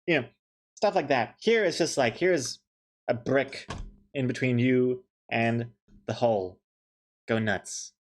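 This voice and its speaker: A man's voice: mid-range voice, takes it slowly, long pauses, a little hiss or hum. A young man chatting casually on a stream, joking and thanking viewers as he goes. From New Zealand. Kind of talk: casual chat while drawing on stream.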